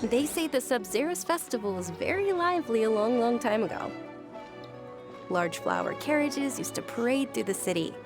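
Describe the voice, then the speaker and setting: An actress voicing a gentle, earnest young noblewoman in a video game, with a polite, softly enthusiastic manner. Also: on the high side, animated, moderate pace, music in the background. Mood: pleasant, explaining.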